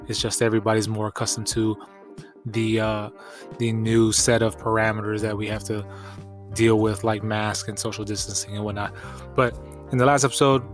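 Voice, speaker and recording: Congested man hosting a casual podcast. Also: fairly low pitch. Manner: informal, slangy, conversational. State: congested from allergies. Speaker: podcast host.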